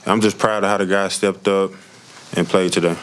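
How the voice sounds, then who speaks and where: A man's voice: deep voice, flat delivery, normal speed. A man in his early twenties, a college football player giving plain-spoken, modest answers at a press conference. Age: early 20s. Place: indoor press conference room. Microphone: tabletop microphone. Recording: noisy press room.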